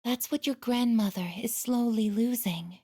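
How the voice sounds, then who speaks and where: A woman voicing a gentle, earnest young female android in a video game, with measured, formal, softly hesitant phrasing. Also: fairly high pitch. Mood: earnest questioning. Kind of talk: English video game voice acting.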